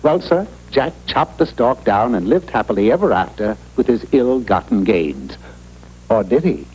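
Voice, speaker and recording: A man's voice: medium pitch, big pitch swings, normal speed, a little mellow, slightly rough. A man playing a wry, urbane storybook narrator, delivering comic scripted narration in a mock-serious manner. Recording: faintly noisy old TV broadcast tape.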